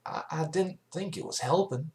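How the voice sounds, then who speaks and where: Man as a blunt farm mare, raised pitch. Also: moderate pace, darkish tone, roomy sound, close to an everyday voice. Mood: startled, defensive. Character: plain-spoken, suspicious, defensive female farm pony.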